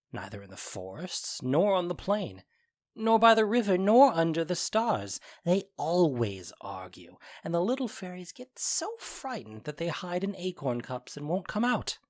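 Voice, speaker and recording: A man playing a mischievous, playful trickster fairy in an audio drama, with gleeful, theatrical storytelling. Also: a little high. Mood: conspiratorial gossip. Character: mischievous playful male sprite, a boastful young trickster fairy. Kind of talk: modern-English audio drama acting.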